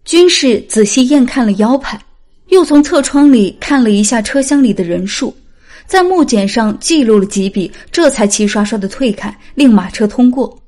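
High-voiced woman narrating an audiobook. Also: expressive, normal speed, a little mellow. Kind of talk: scripted dramatic story narration.

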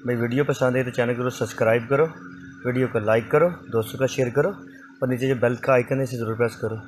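A man's voice: medium pitch, steady tone, quick pace, a little hiss or hum. A young man from Pakistan, running a casual sales vlog in a small indoor clothing shop and repeatedly urging viewers to look at the goods and call. He records himself on a phone held close. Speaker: vlogger selling used clothing bundles.

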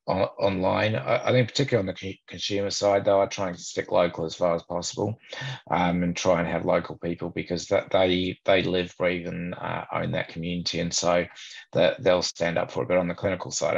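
A man's voice: sits low, vocal fry, slightly rough. A middle-aged man on a video call, candid and practical as a panelist, his audio dull and lo-fi. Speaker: panelist, board chair. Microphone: webcam on video call.

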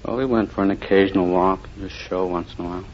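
A man's voice: low voice, steady tone, fast. An American man in his thirties giving short, plain answers as a radio quiz contestant. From Illinois, USA. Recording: very noisy, muffled 1950 radio recording.

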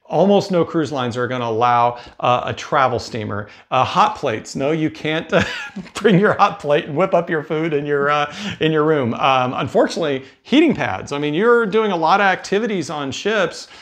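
A man's voice: on the high side, lively intonation, moderate pace, fairly bright tone. A man in his fifties with an American accent, talking casually and explaining things as a video host. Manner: conversational, explanatory. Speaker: cruise advice video host. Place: indoor room with bookshelves. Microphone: lapel mic.

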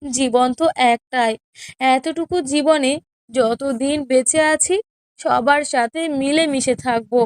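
A woman voicing a meek, sorrowful elder wife in a children's cartoon, pleading gently and submissively. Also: sits high, measured pace, clean tone, no echo. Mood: sad, pleading. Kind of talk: children's cartoon story dialogue.

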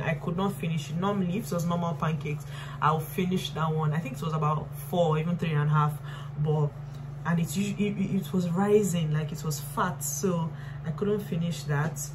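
Woman in her twenties, Nigerian accent, low voice, casual vlog chat, indoor room, noticeable hum and background noise. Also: steady tone, moderate pace. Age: 20s. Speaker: lifestyle vlogger. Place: small bright room indoors.

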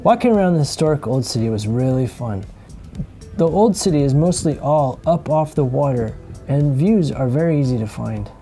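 A middle-aged man giving calm, scripted voice-over narration for a sailing travel vlog, explaining things with dry humor. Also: medium pitch, normal speed, soft background music.

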